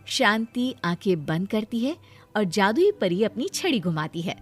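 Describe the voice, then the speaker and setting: A female narrator tells a children's moral story from a script. Her voice sits at a medium pitch, and faint music plays underneath. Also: very animated, measured pace.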